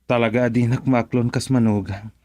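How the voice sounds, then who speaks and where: A man's voice: medium pitch, fairly even intonation, moderate pace, warm tone, dry recording, close to an everyday voice. A man playing a disapproving guest at a family wedding in an Ilocano radio drama. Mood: grave.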